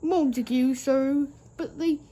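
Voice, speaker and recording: A woman's voice: high-pitched, slow pace, warm tone. A woman voicing a polite, proud, plucky male tank engine in a children's storybook, speaking earnestly.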